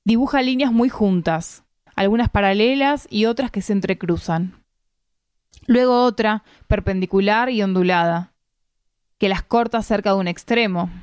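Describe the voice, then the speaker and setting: A woman from Argentina with an Argentine Spanish accent reads a literary first-person story aloud in measured, deliberate phrasing. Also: mid-range voice, darkish tone, clear, resonant tone, dry recording, studio quality. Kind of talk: literary narration, read aloud.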